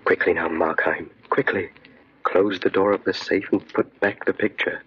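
A man playing a sinister inner voice that tempts and commands with slow, insistent urging, in 1940s radio horror style. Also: sits low, fairly even intonation, breathy edge. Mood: urging, smug. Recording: muffled, crackly old radio recording.

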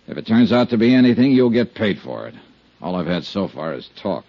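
A man's voice: on the low side, very animated, fairly quick, vocal fry, dry recording, exaggerated voice. An actor playing a cool, wry insurance investigator who narrates his case in a clipped, dry 1950s radio detective style. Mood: businesslike. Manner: clipped, dry, matter-of-fact hardboiled delivery. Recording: dull 1950s radio recording.

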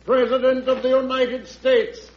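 An actor playing a formal Senate official in an old-time radio drama, proclaiming in a ceremonial manner. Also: male voice, very high voice, level tone, measured pace, slight background noise. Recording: dull, echoey radio recording with loud music.

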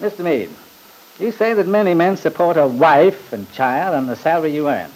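Pompous attorney, old-time radio drama, sarcastic, badgering, fairly high voice. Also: male voice, animated, normal speed, darkish tone, low-quality recording. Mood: scornful. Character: scornful, badgering male attorney, pompous and theatrical.

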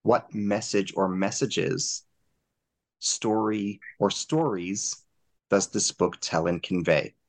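Gravelly low-voiced male host. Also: no echo. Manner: formal and measured. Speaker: interview host. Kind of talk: formal podcast interview.

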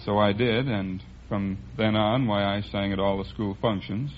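A man's voice: fairly low pitch, fairly even intonation, normal speed. A man of about thirty with an American accent, telling stories conversationally as a quiz contestant. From Los Angeles. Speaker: quiz contestant, amateur singer. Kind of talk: conversational storytelling. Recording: muffled, noisy 1950s radio recording.

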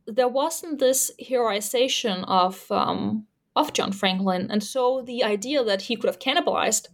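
A Danish woman with a slightly high voice talks through her historical research as an interview guest. She is thoughtful, and her sentences often restart with fillers as she works out her points. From Denmark. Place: Canada.

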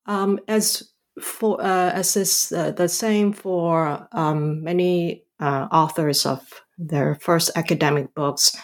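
A middle-aged woman from Hong Kong, a historian being interviewed about her book, answering haltingly with many ums and restarts. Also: sits low. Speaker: guest author, historian.

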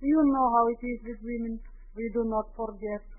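Actress as lovelorn proud soprano, high theatrical voice, vintage radio comedy, tinny narrow-band recording. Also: female voice, fairly even intonation, moderate pace, natural voice. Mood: wistful, proud. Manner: melodramatic, grand. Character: temperamental, lovelorn foreign female opera singer, melodramatic and proud. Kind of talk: 1940s radio comedy acting.

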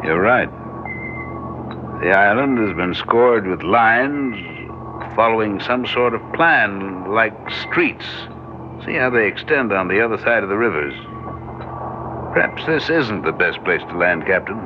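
A tinny, noisy old radio recording of a low-voiced scientist. He is measured, skeptical and slightly formal, explaining things in a reasoned way as in a vintage science-fiction drama. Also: expressive. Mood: reasoning. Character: measured, skeptical male expedition scientist, a doctor.

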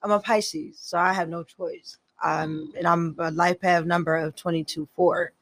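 A woman's voice: fairly low pitch, little pitch movement, moderate pace. A woman in her mid twenties, a guest on a casual chat, playful and chatty. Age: 20s. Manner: playful, chatty, spiritual talk.

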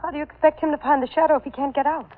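A woman's voice: high voice, fairly even intonation, very fast, lightly acted. An actress playing an emotional, vengeful female accomplice, urgent and hard, in an old radio crime drama. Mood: impatient, vengeful. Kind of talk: 1940s radio drama acting. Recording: very noisy, tinny old radio recording.

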